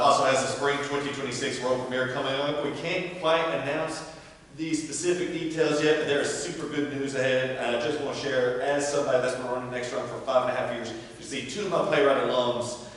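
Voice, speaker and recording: A man speaks as host of a theater evening, giving informal announcements to those gathered. His voice sits at a medium pitch and rings heavily through a large hall. It is picked up from a distance, with faint noise underneath. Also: fairly even intonation, brisk, fairly bright tone. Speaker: theater company director hosting event. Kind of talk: informal event announcements to an audience.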